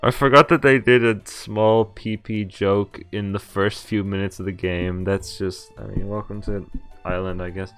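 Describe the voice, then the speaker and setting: A man's voice: on the low side, fairly even intonation, moderate pace, a bit bright, clean tone, faint music behind. A man giving casual, amused and incredulous live commentary on a visual novel game, reading some of its text aloud. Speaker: game streamer.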